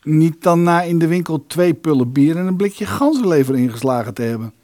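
Scheming male lodger, played by an actor: lightish high register, dry wit, radio play, dry recording. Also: expressive, brisk, warm tone, dry recording, lightly acted. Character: scheming, dryly witty male lodger among poor musicians. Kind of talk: radio play dialogue and storytelling.

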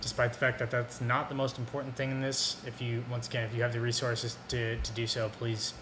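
A man co-hosting a casual sports and beer podcast, reading out beer news in a relaxed, rambling way with many fillers. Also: medium pitch, fairly quick. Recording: echoey room with background noise.

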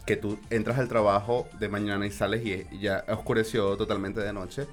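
A man's voice: lowish voice, little pitch movement, moderate pace, soft background music. A man hosting a live radio interview in a conversational way, often repeating and restarting his phrases. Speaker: radio show host.

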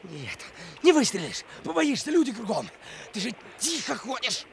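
A man's voice: extremely high pitch, big pitch swings, normal speed, gravelly, fairly bright tone, slight background noise, full character voice. An actor playing a desperate, determined man in a radio-drama street fight, strained and taunting.

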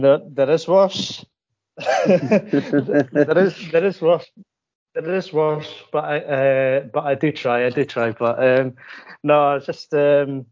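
A man's voice: medium pitch, a little mellow. A man interviewing a golfer, asking long, rambling questions with frequent stammers and restarts. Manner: rambling, stammering, self-deprecating. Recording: dull, lo-fi sound.

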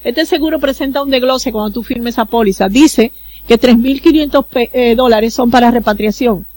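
A woman's voice: a little high, normal speed, darkish tone, dry recording. A woman from the Dominican Republic, with a Dominican accent, speaking as a consular official in an interview and explaining procedures earnestly with everyday examples. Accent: Dominican Spanish. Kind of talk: radio interview guest, explaining.

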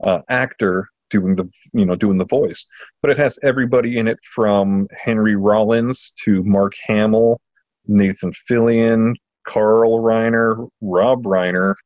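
Lowish voiced guy podcasting, casual n into it, stumbles over words, kinda muffled roomy audio. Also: steady tone, a little mellow. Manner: enthusiastic, halting delivery with repeated words. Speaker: podcast host. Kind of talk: casual chat.

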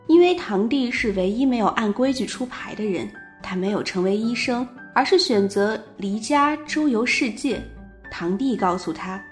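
A woman reading scripted narration for an audio drama. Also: mid-range voice. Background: music.

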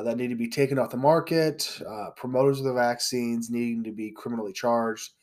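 A man's voice: medium pitch, a little mellow. Congested man hosting a podcast. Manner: blunt, opinionated, with fillers. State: congested, a little sick. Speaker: podcast host. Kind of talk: casual podcast monologue.

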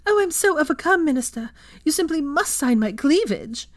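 A woman voicing a playful young wizard who swoons in a hammy mock falsetto. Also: sing-song, moderate pace, no echo. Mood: swooning.

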